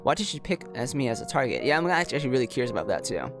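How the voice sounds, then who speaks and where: A man's voice: medium pitch, very animated, racing. A man doing casual playthrough commentary on a visual novel, reading it aloud and reacting informally with amused disbelief. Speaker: streamer. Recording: music playing behind the voice.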